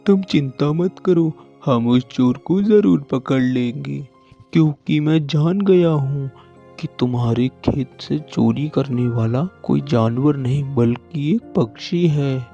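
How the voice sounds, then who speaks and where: Dull lo-fi audio with music under a slow, earnest cartoon donkey acted by a man. He is a determined, helpful friend with a mellow tone. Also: mid-range voice, creaky. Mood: reassuring. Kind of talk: Hindi cartoon moral-story acting.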